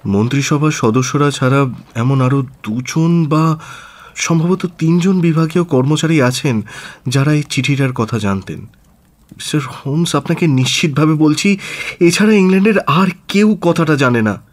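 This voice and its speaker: An actor playing an aristocratic government minister in a radio drama, formal, earnest and deliberate, with hesitant pauses. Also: male voice, fairly high pitch, lightly acted. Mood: earnest, assuring. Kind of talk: Bengali radio drama acting.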